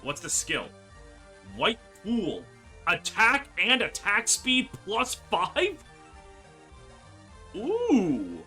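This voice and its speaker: Bright, animated man over game music. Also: high voice. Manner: animated, exclamatory, drawn-out words for emphasis. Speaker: gaming video host. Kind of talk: playthrough commentary, reading game dialogue aloud. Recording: music behind the voice.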